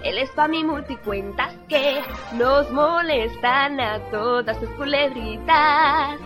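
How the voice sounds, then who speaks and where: A woman's voice: sits high, slow, music in the background. A woman voicing a relentlessly cheerful female prefect who bursts into song.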